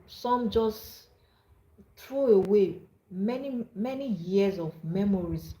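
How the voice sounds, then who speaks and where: A woman's voice: medium pitch, warm tone, a little hiss or hum. Earnest Nigerian woman in echoey room. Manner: earnest, repeats and restarts words. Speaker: livestream host. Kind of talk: live-streamed advice talk.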